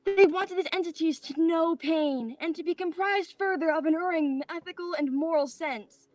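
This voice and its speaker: An actress playing a serenely superior artificial spirit in a staged play reading, formal, precise and declamatory. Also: female voice, extremely high pitch, normal speed, a little mellow. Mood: formal, explanatory.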